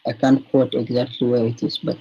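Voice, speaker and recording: A man's voice: mid-range voice, flat delivery, brisk, warm tone, husky, dull audio. A man in a heated debate, defending and explaining his view and complaining about being cut off.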